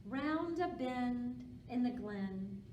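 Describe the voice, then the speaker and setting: A woman's voice: fairly high pitch, animated, very slow, darkish tone, lo-fi sound. A woman presenting a storytime for young children and reading aloud, speaking simply and encouragingly. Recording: roomy echo, distant mic, background noise.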